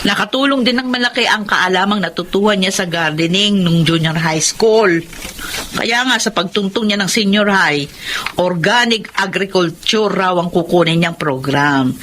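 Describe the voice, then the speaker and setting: A man's voice: sits high, normal speed, pushed and bright, a little hiss or hum. A man playing a proud, talkative market vendor with a Filipino accent in a radio drama.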